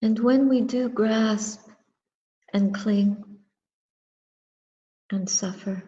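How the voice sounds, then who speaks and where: A woman leads a meditative dharma reflection at an extremely slow pace. Her voice is dark and soft-edged, and she speaks in short, measured phrases separated by long pauses. The recording carries a long, hall-like reverb. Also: mid-range voice, steady tone, muffled recording. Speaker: Buddhist nun and meditation teacher. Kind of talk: guided meditation and dharma talk.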